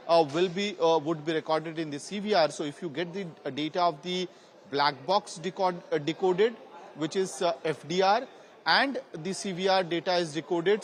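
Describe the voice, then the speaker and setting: An Indian-accented man reports live as a news correspondent. His voice sits somewhat high, and he explains step by step with a halting delivery.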